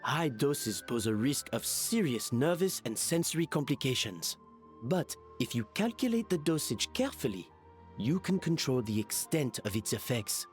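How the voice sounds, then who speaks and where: A composed, reasonable gentleman from a video game. His mid-pitched voice moves up and down expressively as he explains things in measured, articulate phrases. Quiet music plays behind.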